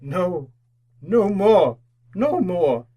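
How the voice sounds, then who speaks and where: An anguished old miser in a radio play, begging in distress. His voice sits fairly high for a man and drags slowly through each plea. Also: very animated, mellow, heavily acted. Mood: anguished pleading. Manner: pleading, distraught, drawn-out delivery. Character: anguished old male miser pleading with a spirit. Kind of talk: old-time radio drama acting.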